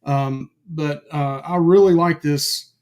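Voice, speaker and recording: A man with a high-pitched voice hosts a live stream and chats loosely with his viewers. He calls out names, says "um" and "uh" often, and sounds a little hoarse because his voice is giving out. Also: fairly even intonation, slow, a little mellow, lo-fi sound. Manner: chatty, greets viewers by name, many fillers. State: losing his voice. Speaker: livestream co-host. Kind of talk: casual live-stream chat.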